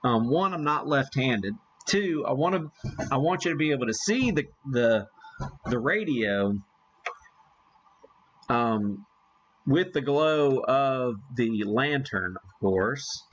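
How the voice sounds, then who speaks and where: A man's voice: medium pitch, animated, slow, muffled recording. A man hosting a casual live hobby demonstration, chatting in a folksy, self-deprecating way. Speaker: live stream host, amateur radio hobbyist.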